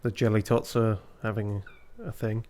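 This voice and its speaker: Casual guy narrating a farming game, lowish voice, breaks sometimes, easygoing chat. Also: normal speed. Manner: relaxed, chatty, reads viewer comments aloud. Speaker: gaming video host. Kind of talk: casual let's-play commentary.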